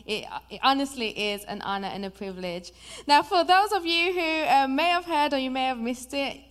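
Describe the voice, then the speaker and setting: High-voiced woman with a Birmingham accent. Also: normal speed. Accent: Birmingham English. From England. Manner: lively, chatty, personal. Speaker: guest preacher. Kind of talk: sermon introduction. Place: church.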